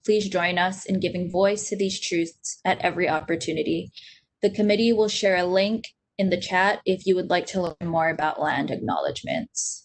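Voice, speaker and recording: A woman's voice: on the low side, expressive, normal speed. A young woman from Hawaii opening an online panel as host, speaking earnestly and steadily with frequent ums, recorded on a laptop webcam during a video call. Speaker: event host. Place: small indoor room at home.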